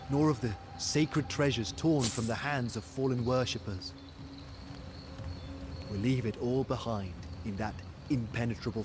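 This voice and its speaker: An actor playing a weary, devoted military commander in a video game, narrating war in a grave, measured, dramatic first-person style over music. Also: male voice, mid-range voice, lightly acted. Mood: somber, tender. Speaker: narrator of campaign cutscenes. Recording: studio voice over background music.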